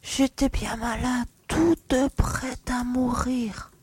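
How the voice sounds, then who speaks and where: A man voicing a sickly, pleading old beggar woman in a thin, breathy voice for an audiobook. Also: very high-pitched, flat delivery, drawn-out words, slight background noise, pitched above the performer's own voice, heavily acted. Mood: feeble, pleading.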